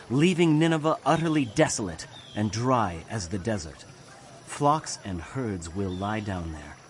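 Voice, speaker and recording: Grave, slow-speaking prophet narrator. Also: male voice, mid-range voice, noisy background. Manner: solemn, declamatory, deliberate. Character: grave male prophet proclaiming doom and hope. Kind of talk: dramatic scripture narration.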